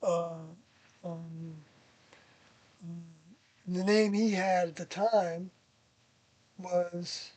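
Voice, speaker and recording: Older interviewee recalling the past off the cuff; halting, thoughtful delivery with frequent pauses, very little room sound. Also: mid-range voice, fairly even intonation, a little mellow, no echo. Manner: hesitant, searching memory with long pauses. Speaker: interviewee, former member of a group recalling past experiences. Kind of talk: casual reminiscing.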